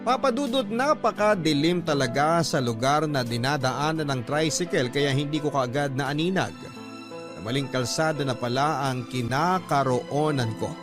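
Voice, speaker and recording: A man narrating a listener's letter in first person, in a steady, storytelling manner. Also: a little high, moderate pace. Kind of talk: scripted narration. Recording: music bed behind narration.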